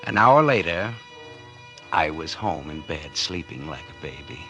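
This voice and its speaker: A man's voice: rumbling low, animated, a little slow, bright, forceful tone, heavily acted. An actor playing a cold-blooded, scheming businessman in 1940s radio noir style, wry, calculating and dramatic. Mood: cool, calculating. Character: scheming cold-blooded businessman narrating his crime, feigning innocence. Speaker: actor narrating and playing the lead. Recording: vintage 1940s radio recording with music.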